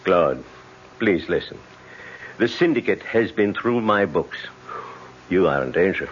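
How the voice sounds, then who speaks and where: A man's voice: low voice, expressive, unhurried, slight background noise. A man playing a suave, fatherly French casino manager who is wary and apologetic, in old-time radio drama acting. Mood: grave, cautioning. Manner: smooth-mannered, hesitant, fatherly. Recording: muffled old radio recording.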